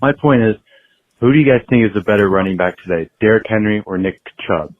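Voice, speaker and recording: High school guy calling in, low-ish voice, sounds like a phone line, dry. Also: fast, no echo. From Ohio, US. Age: teens. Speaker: caller leaving a voicemail. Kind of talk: voicemail question.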